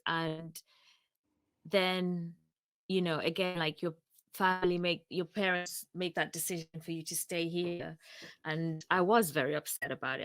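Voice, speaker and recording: Low-voiced woman with a British accent. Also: a bit bright, dry recording. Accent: British English. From Uganda. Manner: reflective, rambling with restarts. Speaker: podcast co-host. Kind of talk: casual chat.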